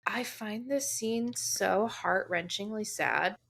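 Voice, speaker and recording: A woman's voice: medium pitch, vocal fry, a bit bright. A woman in her late twenties, co-hosting a casual show recap podcast, chatty and candid. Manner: chatty, candid, quick to laugh. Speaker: podcast co-host.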